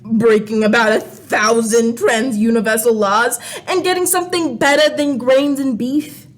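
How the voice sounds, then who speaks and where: A woman's voice: a little high, steady tone, measured pace, natural voice. A woman playing a brash, sarcastic, impatient alien crayon in a comic radio play. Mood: defiant. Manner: flippant, scornful. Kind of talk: radio play comedy acting. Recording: faint background noise.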